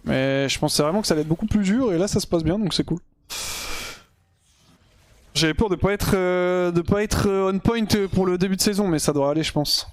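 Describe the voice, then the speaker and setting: High-voiced young man streaming a game. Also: a little fast, dry recording. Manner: chatty, thinks aloud mid-game, slangy with English gamer terms. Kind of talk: casual live gameplay commentary. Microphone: gaming headset.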